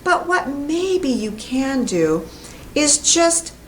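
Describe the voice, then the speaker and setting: Very slow, deliberate American woman teaching. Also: high-pitched, very animated, a little hiss or hum, low-quality recording. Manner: deliberate, emphatic, carefully enunciated. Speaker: English pronunciation teacher. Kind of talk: instructional lesson to camera. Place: indoor classroom in front of a whiteboard.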